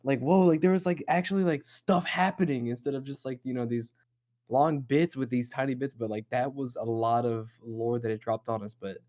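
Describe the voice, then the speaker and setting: Mellow-voiced man chatting through horror lore. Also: medium pitch, steady tone, normal speed. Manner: rambling, profane, speculative. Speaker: host of a horror discussion show.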